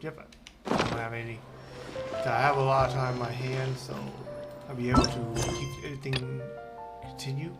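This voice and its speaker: A man's voice: medium pitch, level tone, normal speed. A man streaming a video game and chatting casually, rambling with frequent restarts. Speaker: gaming streamer. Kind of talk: casual gameplay commentary. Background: loud game music.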